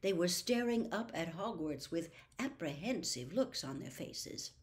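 A woman in her sixties reading a novel aloud expressively, with deliberate, dramatic phrasing. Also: on the low side, expressive. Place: small room at home with bookshelves.

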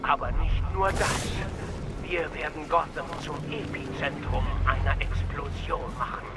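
An actor playing a menacing, vengeful supervillain in a video game, theatrical, ominous and deliberate. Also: male voice, fairly high pitch, heavy background noise. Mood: ominous, grandiose. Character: menacing, vengeful male supervillain who preaches fear. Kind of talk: dramatic video game villain monologue. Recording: loud game music and effects behind.

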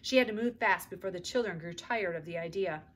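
A woman's voice: on the low side, a little fast. A middle-aged woman narrating a children's book expressively, reading aloud indoors at home. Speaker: read-aloud storyteller.

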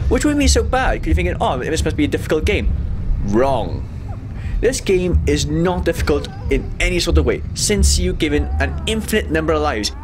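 Animated young man, high-ish voice. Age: young adult. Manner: exasperated, sweary, informal. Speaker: video game reviewer. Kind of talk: comedic game review commentary. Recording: noisy home room recording.

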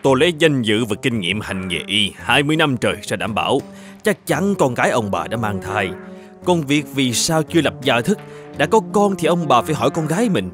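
An actor playing a formal, self-assured village physician in an animated folktale. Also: male voice, medium pitch, fairly quick. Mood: solemn, firm. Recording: music bed under the voice.

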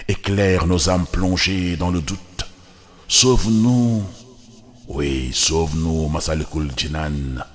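A man with a Senegalese accent solemnly reciting devotional poetry in measured, reverent, declamatory short phrases. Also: low voice.

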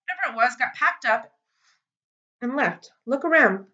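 Bossy tough girl, blunt and loud, read by a woman; higher, brighter than the narration, muffled lo-fi sound. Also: lively intonation, normal speed.

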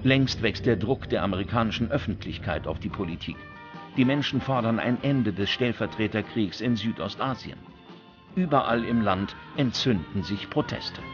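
A man's voice: lowish voice, lively intonation, moderate pace. Male documentary narrator over prominent music. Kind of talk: scripted narration. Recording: dull recording with music bed. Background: background music.

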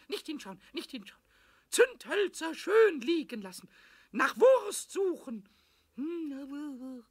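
Squeaky, scratchy little kobold. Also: male voice, vocal fry, a bit bright, dry recording, exaggerated voice. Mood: excited, then curious, playful. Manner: sing-song rhyming, chattering to himself, repeating words. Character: small mischievous male kobold, impish, excitable, childlike. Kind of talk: children's radio play acting.

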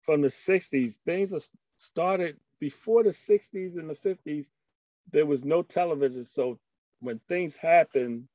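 An older man giving an informal presentation in a halting way over a video call. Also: a little high, dark tone, no echo. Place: indoor room at home, video call. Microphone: computer microphone on a video call.

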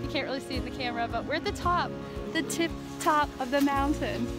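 A woman's voice: high voice, fast, loud music behind the voice. A young American woman in her twenties chatting casually and animatedly to camera as a vlogger. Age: 20s. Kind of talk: casual vlog chat to camera. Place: various: car interior, home, outdoor ski slope at night. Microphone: handheld camera at arm's length.

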